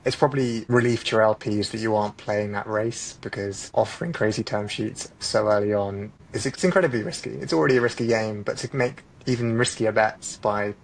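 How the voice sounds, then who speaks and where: A man's voice: on the low side, brisk, gravelly, hardly any pauses, rough recording. A man speaking as a podcast host, conversational, summing up points and posing questions. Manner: recaps answers and poses questions. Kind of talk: conversational interviewing.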